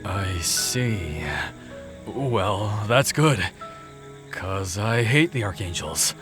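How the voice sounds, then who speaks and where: An actor playing a gruff, guilt-ridden killer in an audio drama, blunt and awkward in the way he talks. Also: lowish voice, animated. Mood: blunt, scornful. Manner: blunt, awkward, halting when flustered. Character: gruff, guilt-ridden male ex-murderer, shy in love. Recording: music under the voice. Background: music.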